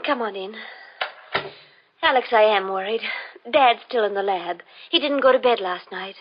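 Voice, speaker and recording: An actress playing an anxious young woman, a worried daughter, speaking haltingly in 1940s radio mystery style. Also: a little high, noisy background, close to an everyday voice. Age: young adult. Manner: hesitant, halting, fretful. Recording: muffled vintage 1940s radio recording.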